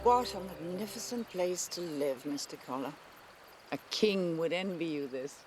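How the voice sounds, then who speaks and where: A woman's voice: lowish voice, expressive, measured pace, music in the background. An older woman acting a gracious, refined, appreciative grandmother in a film drama. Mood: gracious, admiring.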